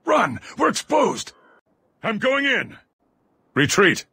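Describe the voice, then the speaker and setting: An actor voicing a gruff, aggressive soldier giving terse, commanding squad callouts in a military video game, with a rough, husky voice. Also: male voice, sits high, wildly swooping pitch, slow, sharp tone, no room sound, heavily acted. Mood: urgent shouting. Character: gruff armored male soldier, aggressive squad mate in combat. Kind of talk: video game combat voice lines.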